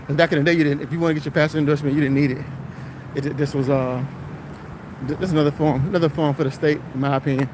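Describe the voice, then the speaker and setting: A man's voice: a little high, fairly even intonation, very fast. An American man rambling casually on a livestream, repeating his phrases for emphasis in an encouraging, preacherly way. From South Florida, United States. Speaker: livestreamer and commercial driver. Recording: noisy outdoor wind and traffic noise.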